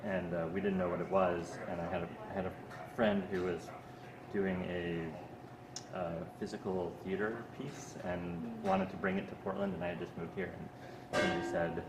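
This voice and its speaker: A man interviewing people in an art gallery, relaxed and hesitant with many fillers. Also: sits low, normal speed. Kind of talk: casual interview talk. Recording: echoey gallery with background noise.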